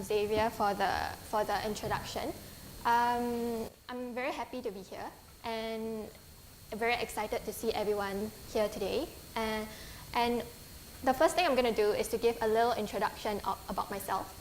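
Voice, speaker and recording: A woman's voice: on the high side, normal speed, slight background noise, rough recording. Young Singaporean woman giving a talk. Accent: Singaporean English. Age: 20s. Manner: friendly, earnest, with frequent ums and restarts. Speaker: guest speaker. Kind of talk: conference talk with slides. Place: lecture hall.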